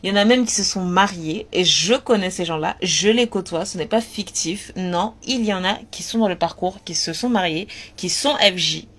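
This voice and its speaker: A woman talking earnestly and directly to her listeners, repeating words and pausing on fillers as she gives spiritual advice. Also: fairly low pitch, fairly bright tone. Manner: earnest, repetitive, lots of fillers. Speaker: spiritual advice content creator. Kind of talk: casual talk.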